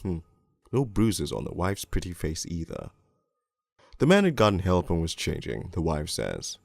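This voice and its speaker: A man playing a crude, cynical police detective, narrating a crime storybook in the first person in a gravelly, world-weary, deliberate way. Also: sits low, huge pitch swings, dry recording, full character voice. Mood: cynical, brooding. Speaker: narrator and lead voice actor in an animated storybook.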